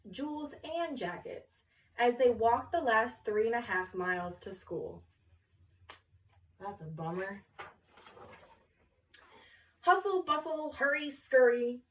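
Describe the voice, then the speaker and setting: A woman reading a children's story aloud with expressive, deliberate phrasing, pausing to question her listener. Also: a little high, far from the mic, poor audio.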